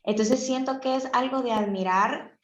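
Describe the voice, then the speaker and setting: A woman's voice: on the high side, normal speed. A woman speaks reflectively and expressively on a video call. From El Salvador, half Venezuelan. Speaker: participant in a group talk. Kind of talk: reflective casual talk. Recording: echoey room, dull video-call audio.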